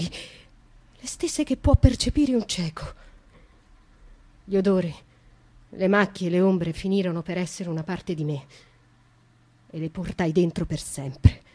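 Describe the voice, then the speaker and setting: An actress playing a young, tormented noblewoman who slowly and intimately confesses her past to a nun in a radio monologue. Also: mid-range voice, mellow, lightly acted. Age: young adult.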